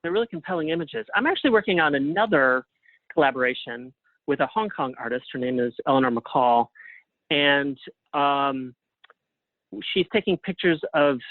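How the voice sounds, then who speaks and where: A man speaking as an interview guest in a casual, thoughtful, halting way over a tinny phone-like connection. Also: mid-range voice, no room sound. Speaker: interview guest, playwright and artist. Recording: narrow tinny phone-like line.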